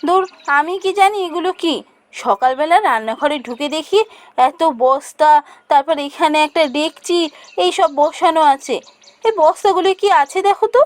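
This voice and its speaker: A woman voicing a practical, fretful village mother in a cartoon, with an exclamatory, expressive delivery. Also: extremely high pitch, moderate pace. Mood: startled. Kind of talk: Bengali fairy-tale cartoon dialogue.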